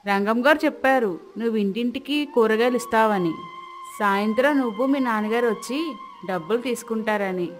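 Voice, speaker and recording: Friendly schoolmaster's wife played by a woman, highish voice. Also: normal speed, faint music behind, lightly acted. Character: friendly schoolmaster's wife, newly arrived villager. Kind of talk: animated moral story dialogue.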